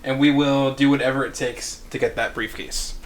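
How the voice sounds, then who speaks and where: A man reading the part of a blunt, determined criminal crew leader in a screenplay table read, with a measured delivery. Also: mid-range voice, little pitch movement, close to an everyday voice. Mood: defiant.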